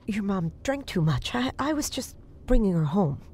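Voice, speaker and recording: A woman's voice: mid-range voice, sing-song, a little mellow, slightly rough. An actress playing an earnest, uneasy woman psychic in a horror audio drama, speaking in a hesitant, naturalistic way. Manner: hesitant, halting. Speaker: voice actor.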